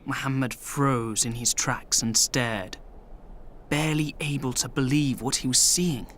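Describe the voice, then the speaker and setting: A man narrating a scripted children's radio story in clear, measured storytelling. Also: medium pitch, steady tone, fairly bright tone, a little hiss or hum.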